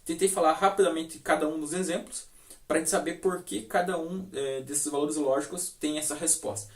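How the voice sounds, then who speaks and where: A man with a Brazilian Portuguese accent explaining a logic lesson, pausing often with fillers and asking rhetorical questions. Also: on the high side, fairly even intonation. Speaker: teacher. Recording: echoey room, distant mic.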